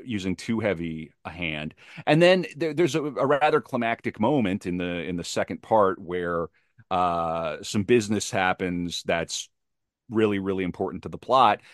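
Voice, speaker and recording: A man with a very low voice talks as a guest in a casual interview. The sound is dry, with little room. He explains his craft emphatically, repeating phrases to drive a point home. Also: no room sound. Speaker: podcast guest, playwright. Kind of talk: animated casual interview talk about craft.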